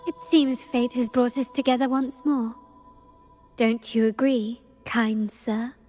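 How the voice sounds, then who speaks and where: A woman's voice: fairly high pitch, fairly even intonation, slow, darkish tone, soft background music. An actress playing a poised, enigmatic, scholarly young woman in a video game, with a courtly, coy manner. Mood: coyly polite.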